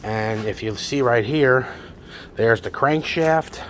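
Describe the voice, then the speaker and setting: A man's voice: mid-range voice, takes it slowly, a bit bright, a little hiss or hum. A middle-aged man, a classic car parts dealer who chats and rambles with enthusiasm while he films a walkaround in a large repair garage. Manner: chatty, enthusiastic, rambling with restarts. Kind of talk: casual walkaround video narration.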